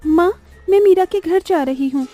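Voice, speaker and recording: Woman acting an earnest young girlfriend, very high voice. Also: moderate pace, dark tone. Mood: cheerful, hurried. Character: eager, reassuring young village woman, the bride's friend. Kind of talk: Hindi animated horror story dialogue. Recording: music bed under the voice.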